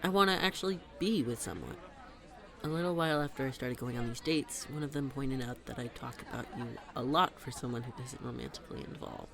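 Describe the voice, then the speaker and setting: A man playing a smitten young best friend in a roleplay audio, talking to the listener in a halting, playful, nervous way. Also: medium pitch, vocal fry, close to an everyday voice. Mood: nervous, earnest. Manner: halting, giggly, playful, addressing the listener directly. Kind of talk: scripted roleplay audio, intimate conversational acting. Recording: public place with crowd murmur.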